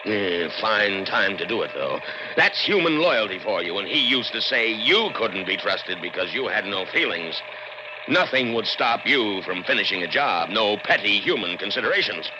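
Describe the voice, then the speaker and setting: A man's voice: lowish voice, very animated, normal speed. A man playing a proud, smug middle-aged scientist with an American accent in a 1960s radio drama, theatrical and scornful of human assistants. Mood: musing, fussing. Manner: muttering, theatrical, self-satisfied. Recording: noisy, dull old radio broadcast.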